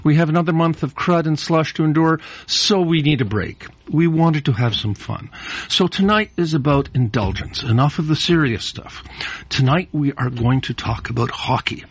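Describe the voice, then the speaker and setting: A man hosting a radio panel discussion in a studio, speaking in a measured way with frequent fillers. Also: on the high side, breathy edge, dry recording. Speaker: radio show host. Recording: muffled radio studio sound.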